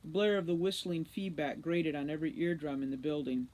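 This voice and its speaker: Female storybook reader, lowish voice, expressive read-aloud narration. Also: brisk, rough recording. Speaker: audiobook reader, teacher.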